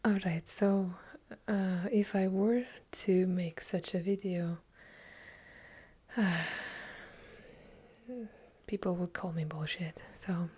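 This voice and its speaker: Slow breathy whispering Eastern European woman. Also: on the low side, fairly even intonation. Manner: soft unvoiced whispering, unhurried, chatty with small self-corrections. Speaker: ASMR creator answering viewer questions. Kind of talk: whispered ASMR Q&A.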